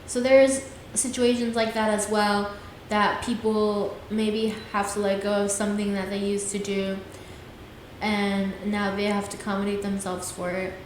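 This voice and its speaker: A woman's voice: mid-range voice, little pitch movement, measured pace. A young woman in her twenties talks alone on her podcast, earnest and loosely rambling, with lines that trail off into filler phrases. Her voice sits in a very echoey room, with a faint hiss underneath. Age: 20s. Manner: earnest, rambling, frequent "things like that". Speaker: podcast host. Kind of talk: casual solo podcast talk.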